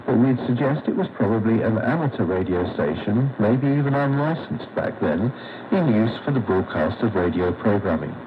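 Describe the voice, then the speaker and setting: A man narrating a scripted history segment on a radio program, in a measured, read-aloud way. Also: lowish voice. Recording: dull shortwave radio reception with static.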